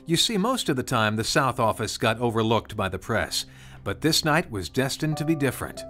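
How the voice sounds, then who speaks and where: A man's voice: medium pitch, very animated, normal speed. A man reading scripted storybook narration of a Christmas tale. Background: music.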